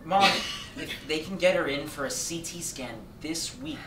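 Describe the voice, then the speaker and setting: A man's voice: a little high, moderate pace, distant mic, close to an everyday voice. A man playing a frank, protective youngster of a family in a staged reading, casual and slangy. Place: theatre stage, indoors. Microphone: far camera. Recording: echoey, noisy stage from afar.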